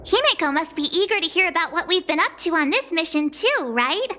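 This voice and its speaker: A woman's voice: extremely high pitch, expressive, brisk. An actress voicing a bubbly, forgetful young woman companion in a video game, chatty and scatterbrained. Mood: cheerful. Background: game music.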